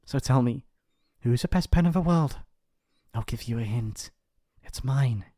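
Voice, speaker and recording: A man playing a shy, gently possessive young mafia heir in an intimate ASMR roleplay, speaking hesitantly and affectionately. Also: mid-range voice, unhurried, no room sound, clean studio sound, close to an everyday voice. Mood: playful, adoring. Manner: hesitant, earnest, stammering, affectionate. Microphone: close studio mic, dry.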